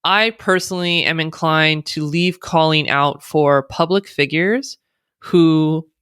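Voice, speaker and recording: American woman guest, measured and explanatory, clean dry recording. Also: sits low, fairly bright tone, clear, resonant tone, no room sound. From United States. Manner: thoughtful, speaks in long explanatory runs with fillers. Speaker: guest, diversity consultant. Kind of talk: conversational interview.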